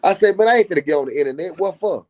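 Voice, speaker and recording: Earnest high-voiced man preaching. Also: expressive, very fast, a little mellow. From Dallas, Texas. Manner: earnest, preachy, repeats himself for emphasis. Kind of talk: casual live stream chat.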